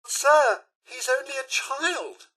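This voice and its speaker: A fussy British robot butler for a video game. The voice is high and sharp with a raspy edge. He speaks with formal, theatrical politeness, his pitch swinging widely, ever ready to moralize. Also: lively intonation, takes it slowly, exaggerated voice, low-quality recording. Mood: indignant pleading. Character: fussy, proper male robot butler, polite, easily indignant. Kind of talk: video game character voice lines.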